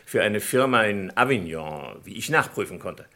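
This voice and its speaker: An actor playing a polite, sharp-minded investigator in a German crime radio play, speaking formally and deliberately, full of questions. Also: male voice, fairly low pitch, expressive, vocal fry, exaggerated voice. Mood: reasoning, insistent. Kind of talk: German crime radio drama acting, 1950s to 80s.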